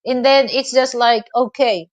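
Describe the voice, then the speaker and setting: A woman's voice: fairly high pitch, fairly even intonation, a little slow. A middle-aged Filipino woman hosting a live online interview, rambling with many filler phrases and restarts. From Philippines. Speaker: host.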